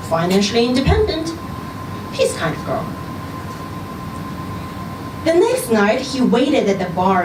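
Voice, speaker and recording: Woman reading fiction out loud at an event, very expressive, roomy distant sound w background noise. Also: fairly high pitch. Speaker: story reader at a live literary event. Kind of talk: expressive scripted story narration. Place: indoor social venue, live reading event. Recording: roomy live venue, distant mic, noisy background.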